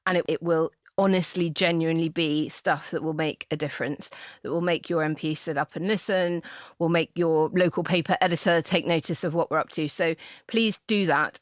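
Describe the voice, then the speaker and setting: A woman's voice: on the low side, dry recording, studio quality. A woman hosting a podcast in an emphatic, conversational way. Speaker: podcast host. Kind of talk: casual chat and appeals to listeners.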